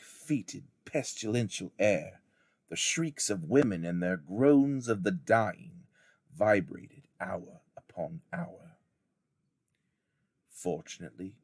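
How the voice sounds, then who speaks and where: A man reading a memoir aloud as a teacher would, with deliberate, dramatic phrasing. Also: lowish voice, darkish tone, no room sound, studio quality. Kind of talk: expressive audiobook narration of a memoir.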